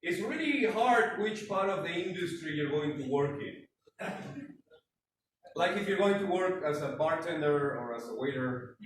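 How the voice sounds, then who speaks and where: A man's voice: a little high, animated, unhurried. A young Mexican man with a Mexican Spanish accent, doing a self-deprecating stand-up comedy set and acting out scenes. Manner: self-deprecating, acts out scenes in put-on voices. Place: large room with live audience laughter. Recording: echoey hall from far off, room noise.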